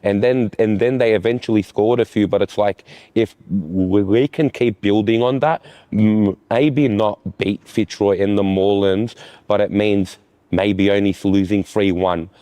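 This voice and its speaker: A man, a futsal goalkeeper, answers interview questions in a low, mellow voice. He keeps restarting his sentences and filling gaps with "like". The sound is close and dry. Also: fairly even intonation, no echo. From Australia. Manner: halting, restarts often and leans on "like". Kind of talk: post-season player interview.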